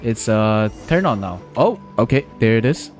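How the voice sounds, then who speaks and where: A man's voice: lowish voice, big pitch swings, moderate pace, faint music behind. A man streaming live gameplay, chatty and playful, talking to himself as he plays. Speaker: game streamer.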